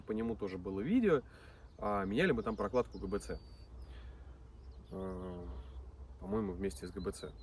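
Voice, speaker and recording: A man with a low, lively voice tells his story in a loose, rambling way. He sounds set back from the mic outdoors, with faint country noise around him. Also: far from the mic. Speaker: auto mechanic, channel host. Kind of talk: casual video intro.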